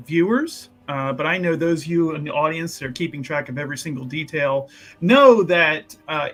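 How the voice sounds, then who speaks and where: A man telling his part of a tabletop game to viewers in a casual, rambling, emphatic way, as a player. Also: a little high. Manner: animated, emphatic, rambling and repetitive.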